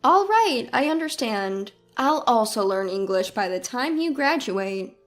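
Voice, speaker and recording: A woman voicing a devoted, gentle grandmother who speaks in a patient, reassuring way in a narrated family story. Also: a little high, sing-song, measured pace, faint music behind.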